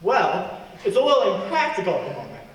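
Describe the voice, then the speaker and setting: An actor playing a commanding, sardonic fugitive on the run in a radio play. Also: male voice, high-pitched, wildly swooping pitch, a little fast, fairly bright tone, full character voice. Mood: exasperated, earnest. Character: wry fugitive man on the run, bullying and sardonic. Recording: echoey hall, far from mic, noisy.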